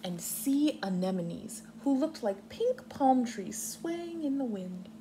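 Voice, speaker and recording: A woman's voice: high-pitched, sing-song, slow pace, mellow. A young adult woman with an American accent reads aloud and explains simply to small children, like a kindergarten teacher. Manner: instructive, simple wording with clear explanations. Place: living room on a couch, indoors. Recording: clearly noisy home recording.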